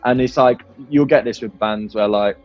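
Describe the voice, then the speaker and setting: Male musician interviewee, mid pitch, candid and informal, faint music bed. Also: moderate pace, lo-fi sound. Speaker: band musician being interviewed. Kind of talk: casual interview chat.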